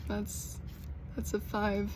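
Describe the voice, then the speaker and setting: A young American woman who stutters, with repeated sounds and blocks, talking slowly and earnestly in a personal vlog. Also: mid-range voice, completely flat delivery, noisy background. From United States. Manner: slow, earnest, gently encouraging. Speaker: vlogger.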